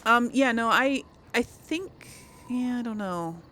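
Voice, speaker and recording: A woman's voice: a little high, expressive, slow, bright, forceful tone, slight background noise. A woman giving casual, chatty let's play commentary, thinking aloud as she hosts a role-play gaming series.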